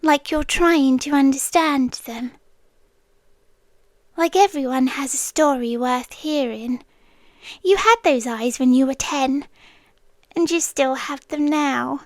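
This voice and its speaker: A British woman playing a wistful, devoted, inhuman childhood friend from the forest in an ASMR roleplay, speaking softly and intimately and often slipping into whispers. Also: high voice, slow, long pauses, dry recording, studio quality. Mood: tender, forgiving. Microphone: close, dry studio-style microphone.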